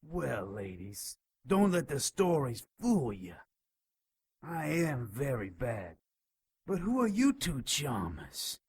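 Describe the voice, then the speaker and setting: An actor playing a lecherous, melodramatic enchanted shopkeeper in an audio drama, whining and leering. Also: male voice, medium pitch, husky, full character voice. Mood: flirtatious. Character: sleazy, excitable male enchanted shopkeeper, panicky and lecherous.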